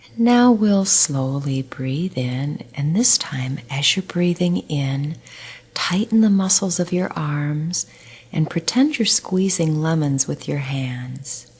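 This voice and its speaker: A slow, low-pitched woman's voice with a breathy, husky edge. She is a middle-aged nurse talking listeners through breathing and muscle relaxation, soft and soothing, often sinking almost to a whisper. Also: expressive. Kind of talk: guided relaxation script.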